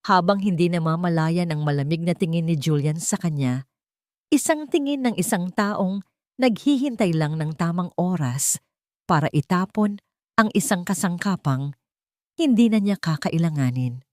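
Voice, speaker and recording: A woman's voice: fairly low pitch, animated, normal speed, darkish tone, no room sound, studio quality. A woman narrating a scripted dramatic audio story.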